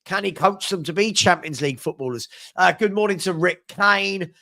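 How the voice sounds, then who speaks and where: Sniffly, chatty fan host. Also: male voice, high voice, moderate pace, creaky. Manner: chatty and upbeat, rattling off shout-outs. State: has a head cold, a bit sniffly. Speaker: host of a live fan chat show. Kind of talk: casual live-stream chat reading out viewers' comments.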